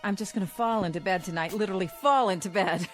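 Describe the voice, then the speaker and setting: An actress playing an exasperated, sharp-tongued wife, polite in company and nagging at home, in a mid-century television drama. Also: female voice, medium pitch, fast, natural voice. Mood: sociable. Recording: vintage TV soundtrack with music.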